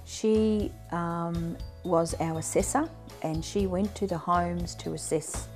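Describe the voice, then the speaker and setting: A woman's voice: lowish voice, fairly even intonation, slow, darkish tone, faint music behind. A middle-aged woman with an Australian accent, a community centre coordinator, speaking proudly and plainly in a sit-down interview. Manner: friendly, proud, plain-spoken.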